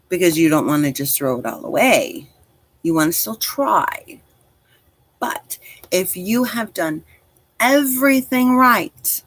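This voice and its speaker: A woman's voice: mid-range voice, fairly bright tone. A woman in her forties chatting casually on a livestream as host, speaking directly to listeners in an encouraging, reassuring way. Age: 40s.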